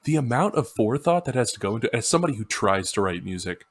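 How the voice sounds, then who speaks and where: A man's voice: medium pitch, sing-song, fast, husky. A man co-hosting a podcast, discussing music in an informal, emphatic, casual way. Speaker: podcast co-host.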